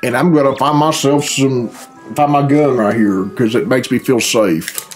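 A man's voice: medium pitch, unhurried. A middle-aged man giving grumbling, profane, casual commentary as a reaction host in a room at home. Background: cartoon dub with music playing.

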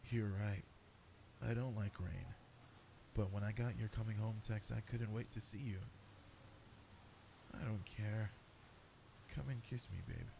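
A man playing an affectionate cat-boy boyfriend in an intimate sleep-aid roleplay, speaking softly and coaxingly. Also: on the low side, fairly even intonation, a little slow, vocal fry, pauses often, noisy background. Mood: affectionate, eager. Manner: soft, tender, coaxing, intimate. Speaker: roleplay voice actor.

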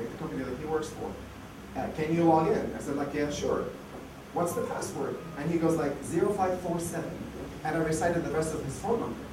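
Man, losing his voice, casual talk with many restarts, distant mic, noisy background. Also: a little high. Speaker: conference speaker. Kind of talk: conference talk. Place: conference hall. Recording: echoey hall, far mic, noisy.

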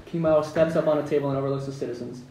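A man's voice: on the high side, steady tone, racing, mellow. A man reading stage directions aloud at an amateur script table read in a room at home, in a plain manner with some amusement. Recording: roomy, distant mic with background noise.

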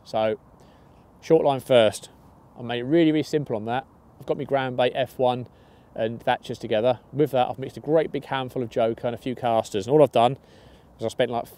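A man's voice: medium pitch, mellow. A young man casually talking through his fishing bait outdoors by a lake, upbeat and chatty, restarting phrases now and then. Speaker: match angler. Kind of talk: fishing tutorial.

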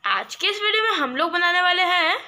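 A woman hosting a cooking channel, explaining a recipe step by step in a steady voice-over. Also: very high-pitched, brisk.

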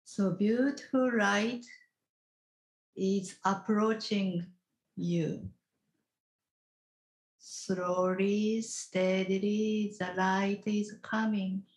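A woman leading a guided meditation as a teacher, instructing gently in short, halting phrases with long pauses. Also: mid-range voice, fairly even intonation, rough recording.

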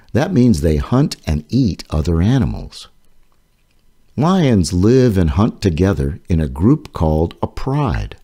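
A man with a very deep, warm voice reads a children's book aloud at an unhurried pace. His intonation swoops up and down in a lively way to keep young listeners interested. He sounds gentle and clear, like a storyteller at bedtime. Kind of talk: slow children's read-aloud. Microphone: voice-over over book pages.

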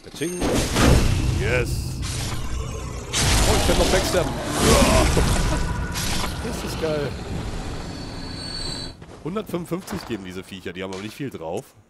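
A man's voice: fairly high pitch, very animated, takes it slowly, long pauses, heavy background noise. A man with a slight cold and a sniffle, chatting casually to viewers while he plays and reacts aloud to the game. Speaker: let's play gamer. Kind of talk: casual gameplay commentary.

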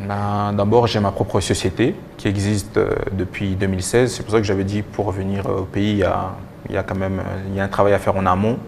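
A young man giving halting answers with repeated words as a guest in a TV interview. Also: low voice, little pitch movement, gravelly, darkish tone, slight background noise. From DR Congo and Belgium. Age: young adult. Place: TV studio. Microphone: lapel mic.

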